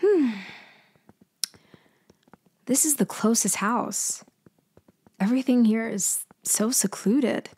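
A woman playing a cunning female mafia leader in an ASMR roleplay, speaking softly and hesitantly. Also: a little high, takes it slowly, pauses often, breathy edge, no echo. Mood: feigned helplessness. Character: cunning female mafia leader feigning a helpless stranded motorist.

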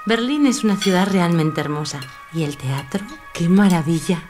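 A woman's voice: fairly low pitch, lively intonation, normal speed, a little mellow, soft background music, lightly acted. A woman playing a poised, clever young actress and wife in a Spanish audio drama. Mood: demure.

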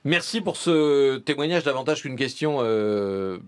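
Guy hosting a radio phone-in, medium pitch, talking close on a studio mic. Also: expressive, unhurried, clean tone. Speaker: radio host. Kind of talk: moderating a radio phone-in debate. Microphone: close studio microphone.

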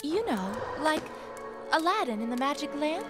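A woman voicing an earnest young female genie in a video game cutscene, expressive and pleading, with loud music behind her. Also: high voice, normal speed. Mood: eager, incredulous.

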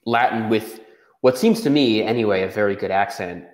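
A man's voice: lowish voice, moderate pace, echoey room. A young American man hosting a casual interview over a video call, asking chatty, curious questions. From United States. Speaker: interviewer, channel host. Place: room at home.

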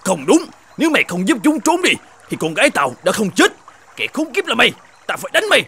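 A man's voice: very high-pitched, wildly swooping pitch, quick pace, creaky, bright, forceful tone, husky, heavily acted. An actor playing a hot-tempered, domineering middle-aged innkeeper and vengeful father in a cartoon folk tale, blustering and threatening. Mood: accusing, enraged.

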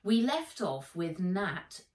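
A middle-aged woman narrates a children's book at an unhurried pace. Her delivery is deliberate and expressive, slightly low in pitch, with echo from the room. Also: distant mic. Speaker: author reading her own book. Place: indoors, living room or garden room at home. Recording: roomy, echoing home recording.

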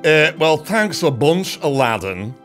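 A man's voice: fairly high pitch, very animated, a little slow, music in the background. A man voicing a grumpy, sarcastic genie of the lamp in an emphatic children's storytelling style.

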